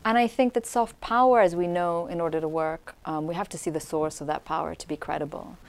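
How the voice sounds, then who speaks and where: A woman who grew up in Syria, answering as an interview guest in an articulate, measured, reflective way. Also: lowish voice, fairly even intonation. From Syria, grew up in Damascus. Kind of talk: conversational commentary on culture.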